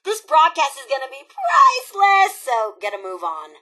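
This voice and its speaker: A woman's voice: sits high, huge pitch swings, moderate pace, bright, forceful tone, exaggerated voice. A woman voicing a sassy, mischievous raider robot in a video game, flighty and cocky, with playful, teasing, slangy delivery and dramatic emphasis. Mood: gleeful, playful. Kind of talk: video game character dialogue.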